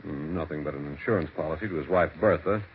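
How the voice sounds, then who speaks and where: Very deep-voiced family attorney. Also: male voice. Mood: somber, recounting. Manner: deliberate, matter-of-fact. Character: matter-of-fact, discreet family attorney. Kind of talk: 1940s radio mystery acting. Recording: tinny, faintly noisy 1940s radio recording.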